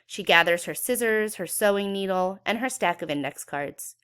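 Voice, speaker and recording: A woman's voice: medium pitch, expressive, moderate pace, a bit bright, clear, resonant tone. A woman reading a children's story aloud expressively, with dramatic emphasis for young listeners. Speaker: children's book read-aloud educator.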